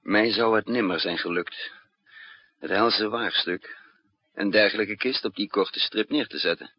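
An actor playing a resourceful fugitive spy in a 1960s Dutch radio drama, with a commanding, clipped manner. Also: male voice, fairly low pitch, slightly rough, no echo. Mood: measured, suspenseful. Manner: commanding, clipped orders alternating with measured narration. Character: resourceful, urgent male fugitive spy with lost memory. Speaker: narrator and lead actor. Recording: muffled, noisy 1960s radio recording.

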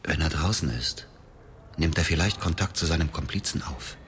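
Bitter man, extremely deep voice. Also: moderate pace, a bit bright, a little hiss or hum. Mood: guarded insistence. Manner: terse, cold, guarded. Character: bitter, vengeful grieving man, coldly calculating. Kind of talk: radio drama, dialogue and first-person narration.

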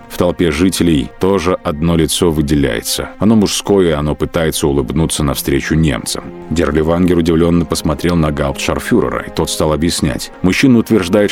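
Very deep-voiced male radio drama narrator. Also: darkish tone. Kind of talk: scripted literary narration.

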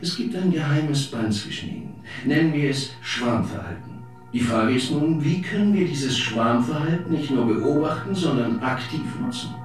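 An actor playing a persuasive veteran professor lecturing in a German radio drama, in a rhetorical, declamatory manner. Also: male voice, fairly high pitch, lively intonation, moderate pace, lightly acted. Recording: very echoey, distant, music behind.

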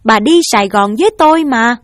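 A woman's voice: a little high, expressive, a little slow, no echo, clean studio sound. A woman reading the part of a stern middle-aged father, a district official scolding his family. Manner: stern, reproachful.

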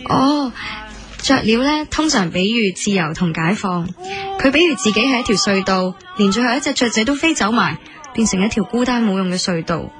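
A woman's voice: medium pitch, animated, normal speed, natural voice. A woman acting in a radio drama with a Hong Kong Cantonese accent, playing a modest, articulate young student waitress who writes stories. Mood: earnest, thoughtful. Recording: music bed under the voice.

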